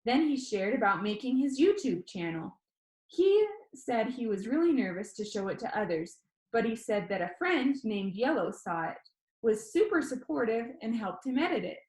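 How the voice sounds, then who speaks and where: A woman narrates a children's novel in the first person. Her voice sits high and moves in lively, expressive swings, as in read-aloud storytelling. The room adds a noticeable echo. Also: moderate pace.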